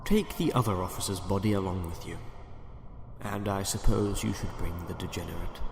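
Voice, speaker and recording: A man's voice: low voice, moderate pace, darkish tone, soft background music. A man playing a sinister doctor in an audio drama, politely menacing and condescending, sometimes murmuring. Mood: cold command.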